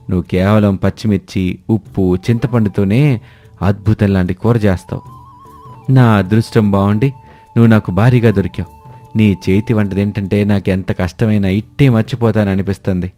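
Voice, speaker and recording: A man's voice: on the low side, dark tone, lightly acted. A man voicing a loving, hardworking poor village husband in a story, affectionate and appreciative.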